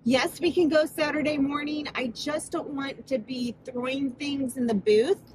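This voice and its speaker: A woman in her thirties vlogging from the driver's seat, slightly stuffed up and nasal from a cold. She talks slowly in a somewhat high voice, laughs easily, and sounds close to a phone on the dashboard. A faint hiss sits behind her in the car. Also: fairly even intonation. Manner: chatty, laughs often, slow and drawn out. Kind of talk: casual vlog chat to camera. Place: parked car, inside. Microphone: phone camera on the dashboard.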